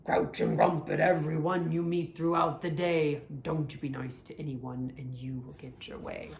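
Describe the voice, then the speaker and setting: A woman's voice: sits low, fairly even intonation, a little slow, vocal fry, darkish tone, voice pushed down. A woman voicing nasty, gleeful little gloom creatures from a storybook, chanting in a taunting sing-song. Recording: echoey room, faint background noise.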